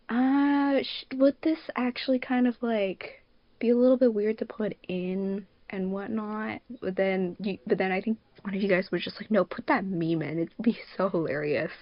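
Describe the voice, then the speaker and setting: A woman's voice: medium pitch, no echo. Dry, muffled woman in casual podcast chat. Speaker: podcast co-host, fandub production crew member and editor.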